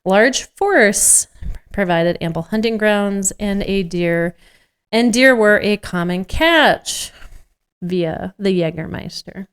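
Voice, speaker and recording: A woman's voice: medium pitch, takes it slowly, clear, resonant tone. A woman co-hosting a podcast, with a teasing, joking manner, switching between casual banter and reading from a script. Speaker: podcast co-host.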